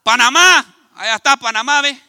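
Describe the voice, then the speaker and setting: A man from Ecuador with an Ecuadorian Spanish accent, preaching a sermon in an animated, conversational way and speaking straight to his congregation. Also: very high voice, normal speed, vocal fry, no echo, clean studio sound. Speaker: pastor. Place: church.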